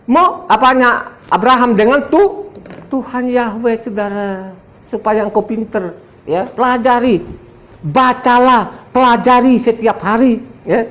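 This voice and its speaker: A man's voice: extremely high pitch, measured pace, a bit bright. A man in his seventies from Sumba, speaking. He preaches a combative, boastful sermon, laughs often and quizzes his listeners. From Sumba, Indonesia. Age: 70s. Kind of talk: sermon to a congregation.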